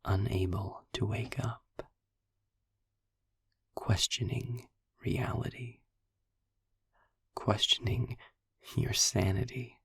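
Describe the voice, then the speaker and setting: A man playing a sardonic, darkly amused demon in an intimate audio roleplay, telling his tale in a breathy, gravelly near-whisper with wordy, deliberate phrasing and dramatic pauses. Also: lowish voice, no echo, full character voice. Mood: ominous, measured. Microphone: close microphone in a dry, quiet space.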